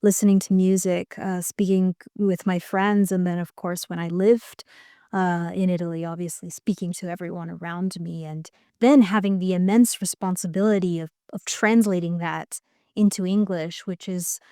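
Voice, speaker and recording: A woman's voice: lowish voice, fairly even intonation, normal speed, warm tone, clean tone, no echo, studio quality. A woman from the United States, a podcast guest speaking in a thoughtful, hesitant way with many restarts. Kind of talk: reflective interview talk.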